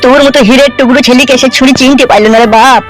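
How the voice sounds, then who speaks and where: A man's voice: very high voice, steady tone, racing, fairly bright tone, soft background music. A man voicing an older village uncle in a comedy cartoon, gossipy, commiserating and grumbling. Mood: sympathetic.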